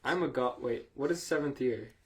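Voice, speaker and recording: A man's voice: mid-range voice, normal speed. Amused man reading aloud on a podcast. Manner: sweary, amused, interrupts own reading with asides. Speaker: podcast host reading fan fiction aloud. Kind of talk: casual comedic banter and read-aloud.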